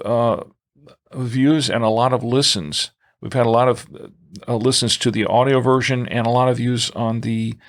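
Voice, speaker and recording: A man hosts a conversational podcast in a medium-pitched voice. His delivery halts and pauses often as he moves through listener mail and show business. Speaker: podcast co-host.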